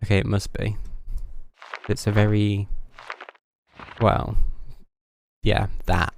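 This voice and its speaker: Low, creaky, slow male streamer. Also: little pitch movement, noisy background. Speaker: live streamer. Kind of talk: casual game commentary.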